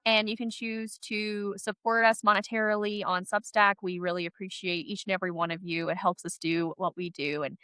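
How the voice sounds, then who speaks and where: A woman co-hosting a casual podcast chat, chatty and animated, sounding stuffy from a head cold. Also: mid-range voice, a little fast, few pauses, smooth, no room sound. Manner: chatty, animated, laughs often. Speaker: podcast co-host.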